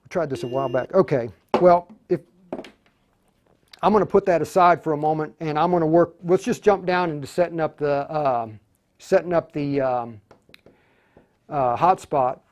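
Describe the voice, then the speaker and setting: A man hosting an unscripted live tutorial, speaking haltingly and often muttering to himself as he works. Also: a little high, a little mellow, muffled recording. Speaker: host of a live radio tutorial.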